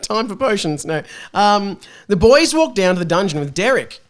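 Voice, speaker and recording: A man's voice: high voice, expressive, normal speed. An Australian man guesting on a comedy podcast, reading fan fiction aloud and bantering, giggly, sarcastic and sweary.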